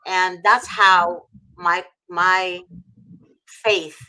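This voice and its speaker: Middle-aged woman from egypt, preachy and fired up, lowish bright voice. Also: steady tone, drawn-out words, poor audio. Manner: fervent, preachy, repeats for emphasis. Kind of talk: impassioned faith talk.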